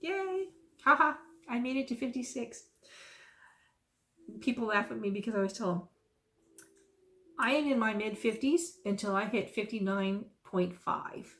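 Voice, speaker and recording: A woman's voice: fairly high pitch, expressive, moderate pace, long pauses, a bit bright. Woman in her fifties, folksy rambling talk, self-amused asides, casual vlog chat. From South Texas. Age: 50s. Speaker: stitching vlogger.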